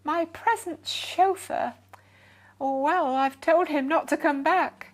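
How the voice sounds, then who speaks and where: A woman's voice: sits high, slow pace, pitched above the performer's own voice. A woman voicing a flustered, well-to-do older lady in a children's story, gushing, polite and eager. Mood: grateful, gracious.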